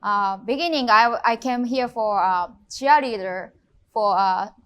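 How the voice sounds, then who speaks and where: Japanese-accented woman, halting English. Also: mid-range voice, smooth. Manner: halting non-native English with frequent fillers. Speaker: luxury real estate agent. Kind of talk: interview conversation.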